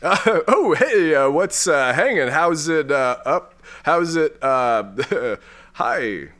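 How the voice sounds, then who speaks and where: A man's voice: medium pitch, sing-song, moderate pace, fairly bright tone. A man playing a bumbling, lovestruck, pompous space lord with a theatrical, stammering manner in a comic sci-fi audio drama. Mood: awkwardly nervous.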